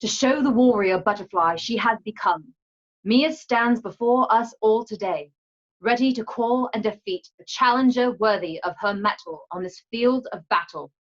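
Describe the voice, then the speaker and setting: A woman's voice: a little high, normal speed, lightly acted. An actress playing a dignified female leader of warrior rites in a radio drama, formal and ceremonial, on a slightly dull recording. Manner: ceremonial, declamatory.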